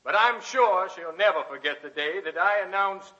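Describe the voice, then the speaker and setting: An actor playing a folksy, jovial senator giving an after-dinner speech in 1940s radio drama style. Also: male voice, sits high, normal speed, lightly acted. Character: folksy, jovial male senator reminiscing at banquet. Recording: dull, very noisy vintage radio recording.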